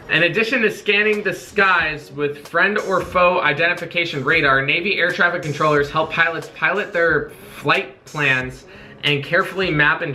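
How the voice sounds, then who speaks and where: A man's voice: fairly high pitch, sharp tone. A young American man presenting an informational vlog casually, switching between reading aloud and informal, jokey asides. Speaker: video creator, Navy corpsman.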